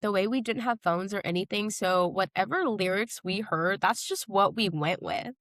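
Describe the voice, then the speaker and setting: A woman's voice: mid-range voice, lively intonation, normal speed, a bit bright, no room sound, clean studio sound. A young American woman chatting casually as a podcast co-host, with slangy, emphatic phrasing. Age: young adult.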